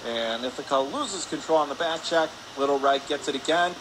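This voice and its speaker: A man with a slightly high voice calls a hockey game live for radio. His delivery is brisk and clipped, following the play moment by moment. Loud rink noise runs behind him. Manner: brisk clipped present-tense calls, rising on shots. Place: indoor ice rink. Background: loud arena ambience noise.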